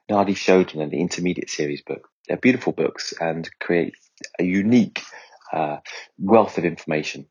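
Low, husky male podcast host. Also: darkish tone, muffled recording. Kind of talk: scripted podcast introduction.

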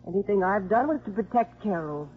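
An actress playing a guarded, wounded, defiant career woman with a husky voice in a 1950s radio drama. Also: mid-range voice, animated, fairly quick. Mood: indignant. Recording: thin, phone-like old radio recording.

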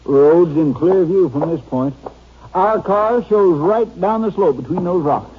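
A man's voice: high voice, expressive, unhurried, narrow, tinny audio. A man playing an eager, folksy western sheriff in an old-time radio crime drama, blunt and full of questions, on a noisy old recording. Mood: watchful. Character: plain-spoken, eager male western sheriff.

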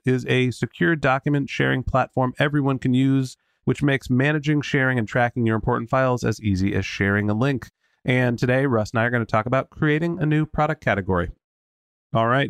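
A man hosting a podcast, friendly and loose as he sets up his guest. His voice sits a little lower than it normally would. The recording is dry and close. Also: vocal fry, no echo. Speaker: podcast host. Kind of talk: interview hosting.

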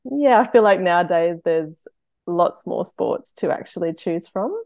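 An Australian woman from Queensland, a vet, answering interview questions with many pauses and ums. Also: on the low side, expressive, no echo, studio quality. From Brisbane, Queensland. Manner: hesitant, many ums, laughs softly. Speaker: guest, veterinarian and runner. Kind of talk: casual interview chat.

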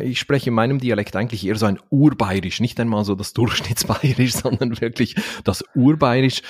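A man's voice: mid-range voice, darkish tone, husky, no room sound, studio quality. A man from Valais in Switzerland with a Swiss Standard German accent, a trainer answering interview questions thoughtfully, with frequent fillers. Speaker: seminar trainer and speaker. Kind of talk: podcast interview.

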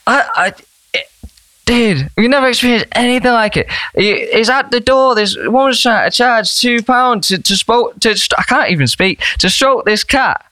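A young man, a band member chatting as a podcast guest, telling rambling, animated stories. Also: very high voice, moderate pace, no room sound. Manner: animated, rambling storyteller with laughs. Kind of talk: casual storytelling chat.

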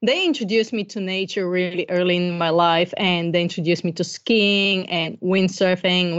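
Middle-aged woman, Bulgarian accent, conversational podcast guest, mid-pitched voice, slightly muffled lo-fi sound. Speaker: podcast guest, coach and entrepreneur. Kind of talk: conversational interview, telling life story.

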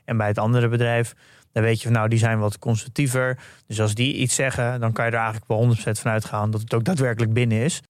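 A man's voice: mid-range voice, level tone, fast, dry recording, clean studio sound. A man hosting a podcast, summing up points conversationally. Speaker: podcast host. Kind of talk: conversational summarising.

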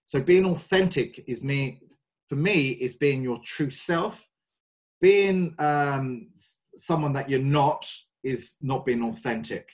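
A man in his forties with a London English accent, a coach speaking as a podcast guest, thoughtful and hesitant with many pauses and fillers. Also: medium pitch. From North London, England. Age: 40s. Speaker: guest, sales and mind coach. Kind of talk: podcast interview.

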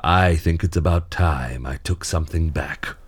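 A man's voice: rumbling low, a little slow, breathy edge, heavily acted. A man playing a weary, sentimental Santa Claus with a grumpy, mischievous streak and a wistful, dry manner in an audio drama. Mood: dryly mischievous.

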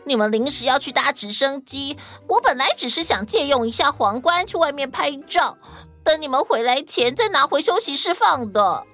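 Woman voicing a high-pitched, defensive kangaroo lady. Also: animated, moderate pace, bright, forceful tone. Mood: sheepish confession. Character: female kangaroo, defensive, then tearfully remorseful. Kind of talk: children's audio story acting. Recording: music under the voice.

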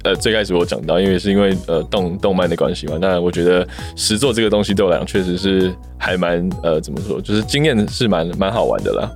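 A man with a Taiwanese Mandarin accent and a low, magnetic voice, chatting casually in a hesitant, self-deprecating way and repeating himself. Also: expressive, fast, few pauses. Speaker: podcast co-host and amateur voice actor. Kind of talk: casual chat. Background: music.

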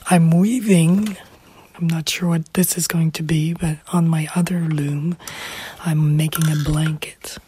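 A middle-aged woman with a damaged, whispery voice, speaking reflectively in short, halting phrases in an interview. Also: deep voice, fairly even intonation, dark tone, slight background noise. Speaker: interviewee, former teacher.

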